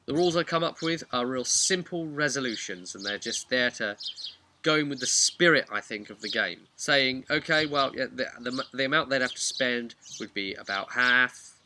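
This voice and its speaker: A man's voice: medium pitch, normal speed, sharp tone, a little hiss or hum. A man giving a casual, unscripted explainer as a video host, rambling along in a chatty, frank way. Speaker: video host and tabletop game master.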